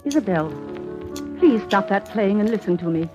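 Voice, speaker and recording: A woman's voice: medium pitch, expressive, normal speed, warm tone, natural voice. Woman acting bossy protective aunt, old radio drama, blunt n scolding, medium voice, faint music. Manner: forthright, urgent, scolding.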